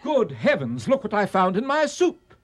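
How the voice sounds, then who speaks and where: An actor playing an urbane, scheming restaurateur in a radio comedy, speaking in a formal, wordy, mock-indignant way. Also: male voice, high-pitched, wildly swooping pitch, moderate pace, heavy background noise. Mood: mock outrage. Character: urbane, pedantic male restaurateur and scheming accomplice. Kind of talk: radio comedy drama acting.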